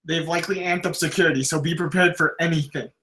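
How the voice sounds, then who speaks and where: A man's voice: a little high, steady tone, quick pace, pushed and bright. A man at a screenplay table read playing a grown-up, military-minded mission commander. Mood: businesslike.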